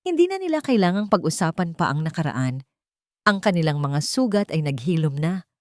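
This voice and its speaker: A woman with a Filipino accent narrating a scripted dramatic story in an expressive, measured way. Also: lowish voice, no room sound, clean studio sound. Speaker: audiobook narrator.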